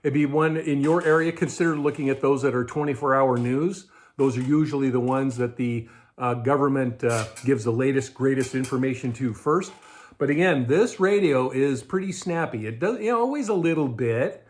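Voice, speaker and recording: A man's voice: mid-range voice, moderate pace, a little mellow. A middle-aged man with an American accent explains things conversationally, like a host reviewing gear informally to camera. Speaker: host of a budget prepping show. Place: indoors in a garage or storage room with shelves.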